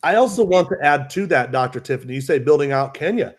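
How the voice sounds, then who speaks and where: A man's voice: on the high side, animated, fast. A man co-hosting a live stream over a video call, friendly and chatty, often restarting sentences. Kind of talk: casual live-stream chat.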